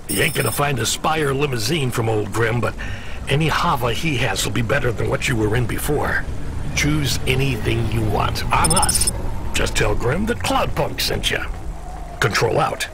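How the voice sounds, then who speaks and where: A man playing a gruff, fatherly, streetwise dispatcher in a video game, heard over a noisy radio call. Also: medium pitch, animated, moderate pace, natural voice. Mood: reassuring. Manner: colloquial, streetwise, laid-back. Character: gruff, fatherly middle-aged male delivery dispatcher.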